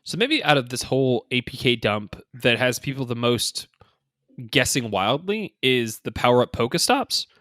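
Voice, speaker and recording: A man co-hosts a casual podcast about gaming in an informal, slangy voice. He speculates as he goes, hedging his points. His voice is mid-pitched and recorded close and dry. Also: very animated, moderate pace, fairly bright tone, no echo. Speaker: podcast host. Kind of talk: casual two-person podcast discussion of a mobile game.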